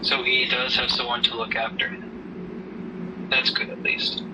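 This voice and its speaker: A man's voice: mid-range voice, completely flat delivery, unhurried, close to an everyday voice. Distant, earnest protective father acted by a man. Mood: reassuring. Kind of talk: amateur audio drama acting. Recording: distant mic, noisy background hum.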